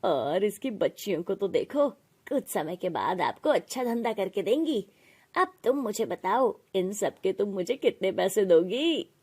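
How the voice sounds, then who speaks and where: A woman voicing a crude, threatening male trafficker in an animated moral story. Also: mid-range voice, measured pace, slightly rough, full character voice. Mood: sly, bargaining. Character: menacing male trafficker, shouting and grumbling.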